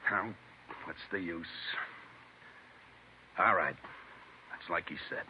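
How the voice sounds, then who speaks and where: A man's voice: deep voice, slow pace. An actor playing a cocky, defensive murder suspect under interrogation in 1940s radio style, with slangy, indignant tough-guy talk. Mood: defeated. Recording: muffled, hissy old radio recording.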